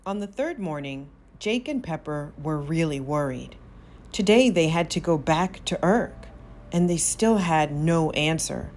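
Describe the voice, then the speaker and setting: A woman narrating a children's story aloud, expressive, with drawn-out emphasis on key words. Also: sits low. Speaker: storybook reader.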